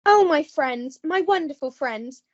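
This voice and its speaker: Very high-pitched gushing young heiress played by a woman. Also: lively intonation, a little slow. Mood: elated, gushing. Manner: posh, melodramatic. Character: self-centred, gushing young English heiress Penelope. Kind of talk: comic melodrama radio play. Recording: dull lo-fi recording.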